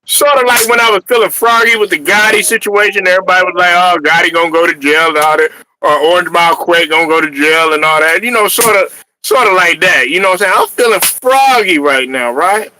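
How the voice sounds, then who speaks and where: A man in casual sports chat with a bright, forceful voice that sits a little high. He talks in an animated, trash-talking way, breaking into laughs and hollers. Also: fairly quick, slight background noise, rough recording. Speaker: podcast host.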